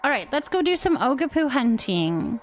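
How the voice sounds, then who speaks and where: A woman chatting casually while streaming a game, thinking out loud as she talks to her viewers. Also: sits high, big pitch swings, moderate pace, faint music behind. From California, United States. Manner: chatty, thinking aloud to herself. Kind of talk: casual gameplay stream chat.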